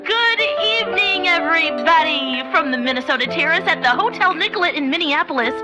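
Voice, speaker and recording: A woman playing an excited hotel bandstand announcer in a radio drama, with a showy emcee delivery. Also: extremely high pitch, brisk. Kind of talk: old-time radio drama acting. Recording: loud music over the voice.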